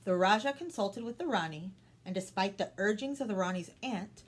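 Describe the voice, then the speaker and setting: A woman's voice: medium pitch, expressive, moderate pace. Woman reading a storybook aloud, some noise. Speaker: storytime host reading a children's book.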